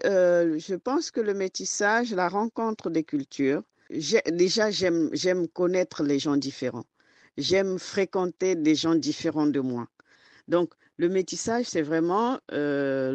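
A woman author and storyteller, whose first language is not French, answering interview questions in a halting way that keeps restarting. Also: deep voice, darkish tone, dry recording. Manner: hesitant, halting, often restarts and searches for words.

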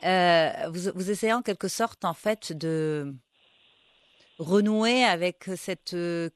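A man's voice: high voice, slow pace, clean tone, dry recording. A man interviewing a guest, asking questions hesitantly and searching for words.

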